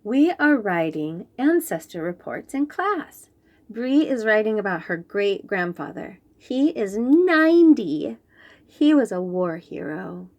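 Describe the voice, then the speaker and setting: A woman reads a picture book aloud to young children. She takes her time over every word and lets her voice rise and fall a great deal. Her manner is clear and patient, as if explaining each new word. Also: medium pitch. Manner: slow, clear and expressive, explaining fancy words.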